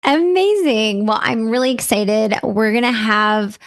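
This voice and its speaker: A woman's voice: a little high, a little slow. A woman hosting a podcast, chatty and upbeat, with many "um"s and "you know"s. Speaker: podcast host. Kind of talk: casual interview hosting.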